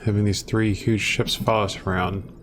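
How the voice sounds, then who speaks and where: A man's voice: lowish voice, level tone, normal speed, a little hiss or hum. A man hosting a gaming channel, talking through his gameplay in a rambling, self-deprecating way on a close desktop microphone at home. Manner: rambling, self-deprecating, thinks aloud while playing. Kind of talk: casual gameplay commentary.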